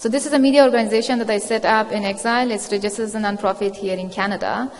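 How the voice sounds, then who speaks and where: A woman's voice: mid-range voice, fairly even intonation, racing. A woman journalist whose first language is Dari, speaking with an Afghan accent, earnest and reflective on a panel. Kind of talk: panel discussion. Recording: reverberant hall.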